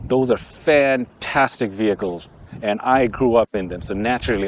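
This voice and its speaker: A man from Bangladesh hosting a casual, joking car review vlog outdoors, with wind around him. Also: lowish voice. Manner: chatty, joking, opinionated. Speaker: car channel host. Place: outdoors by a vehicle, windy. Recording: faint wind and outdoor noise. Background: wind and outdoor noise.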